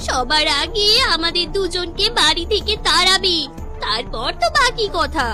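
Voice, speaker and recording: A woman's voice: very high voice, unhurried, sharp tone, faint music behind, heavily acted. A woman voicing a scheming, greedy young wife in a cartoon, dramatic and whiny, wheedling and haughty. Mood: plotting, worried. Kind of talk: cartoon voice acting.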